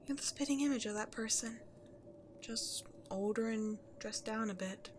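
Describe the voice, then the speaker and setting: A woman playing a remorseful, self-loathing cursed princess turned beast in an ASMR roleplay, halting and confessional, often hushed to near-whispers. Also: a little high, slight background noise, lightly acted. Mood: resigned, somber. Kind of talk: dramatic ASMR roleplay monologue to the listener.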